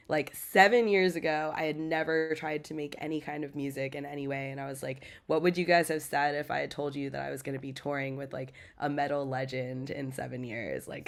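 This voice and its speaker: A young American woman, a metal singer, chats easily as a podcast guest. Her talk is loose and filler-heavy, full of "like" and "um". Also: low-pitched. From United States. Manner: chatty, many "like" and "um" fillers. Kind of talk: casual interview chat.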